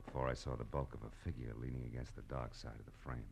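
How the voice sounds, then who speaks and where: Male hard-boiled radio detective: very low voice, clipped wisecracks, world-weary, old recording with music bed. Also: level tone, fast, vocal fry, a little mellow. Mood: narrating, wry. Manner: wry, slangy, clipped. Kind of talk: 1940s radio detective drama acting, first-person narration.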